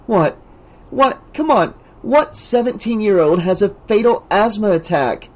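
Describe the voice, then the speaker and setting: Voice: medium pitch, takes it slowly, lightly acted. A reader voicing an angry, scared teenage girl who snaps and mumbles. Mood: bitter. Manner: snappish, mumbled.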